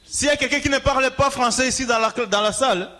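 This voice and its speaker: A male interpreter relays a sermon phrase by phrase. His voice is high and forceful, and his delivery is halting, with repeats and rewordings as he keeps up with the preacher. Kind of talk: consecutive live interpretation.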